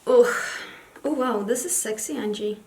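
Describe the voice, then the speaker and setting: A young woman with a Swedish accent chats away at her makeup station, rambling with giggles and little self-teasing asides. Her voice sits fairly high, and the room around her rings with echo. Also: unhurried, warm tone. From Sweden. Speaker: beauty vlogger. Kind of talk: casual get-ready-with-me chat. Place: home makeup station, indoors.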